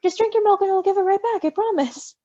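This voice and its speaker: A woman's voice: extremely high pitch, quick pace, dark tone, no echo, muffled recording, pitched above the performer's own voice. A woman voicing a proud, protective male creature who fusses over a baby, emphatic and cooing, in a storybook reading. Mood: soothing.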